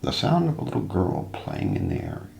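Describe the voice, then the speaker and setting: A deep-voiced man with heavy vocal fry narrates mysteries in a hushed ASMR style. He moves slowly and deliberately, building suspense. The recording is roomy, with light background noise. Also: huge pitch swings. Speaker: narrator and host of an ASMR role play.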